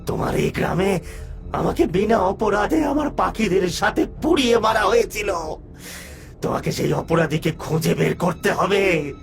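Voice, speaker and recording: Man voicing a creaky, vengeful ghost. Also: high-pitched, lively intonation. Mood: demanding, aggrieved. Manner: menacing, drawn-out demands. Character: vengeful male ghost of a villager burned alive. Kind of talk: dramatized animated horror story. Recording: music behind the voice.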